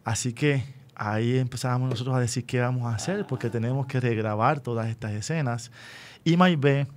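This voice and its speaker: A man with a Puerto Rican accent hosting an unscripted radio interview, speaking deliberately and often checking in with short rhetorical questions. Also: mid-range voice. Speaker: radio host and school educator.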